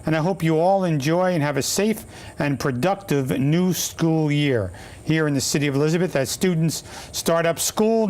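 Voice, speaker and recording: A man, a city mayor, hosting a local cable talk show, reading announcements in a measured, formal way and chatting with a guest. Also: fairly high pitch.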